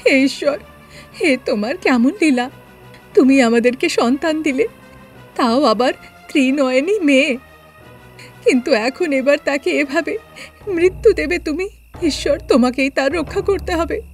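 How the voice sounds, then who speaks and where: A woman's voice: high voice, measured pace, pauses often, faint music behind. A woman voicing a devoted, protective young mother with an emotional, pleading manner in a cartoon story. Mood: pleading, devout.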